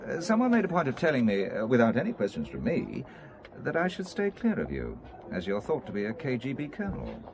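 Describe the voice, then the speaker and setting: An actor playing a wary, quick-witted businessman turned spy in an audio drama, with a dry, sardonic manner. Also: medium pitch, huge pitch swings, background noise. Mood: probing. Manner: dry, sardonic, hesitant when probing.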